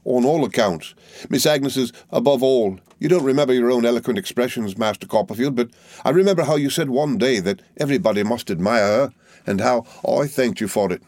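A man's voice: medium pitch, fairly quick, darkish tone, dry recording, studio quality, pitched above the performer's own voice, lightly acted. A man reading aloud and voicing a fawning, falsely humble young male clerk with a wheedling, obsequious manner.

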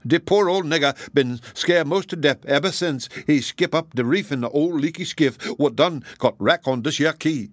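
Guy doing a wailing old ship's cook, high pitched, excitable n fearful, storybook style, close dry sound. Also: moderate pace, hardly any pauses, dry recording, natural voice. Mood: overjoyed. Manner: wailing, exclamatory, heavy dialect speech.

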